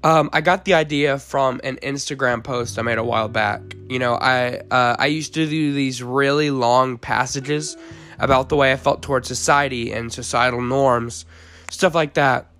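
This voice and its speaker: A teenage boy talks through a faith podcast in an earnest, unpolished way, with plenty of ums along the way. His voice is hoarse from the flu and sits at a middle pitch. Soft guitar plays faintly underneath. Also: fairly even intonation, moderate pace. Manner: earnest, with frequent ums and uhs. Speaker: podcast host.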